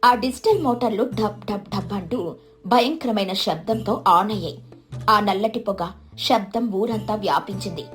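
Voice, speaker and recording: A woman's voice: mid-range voice, normal speed, fairly bright tone, soft background music. A woman narrating a scripted story.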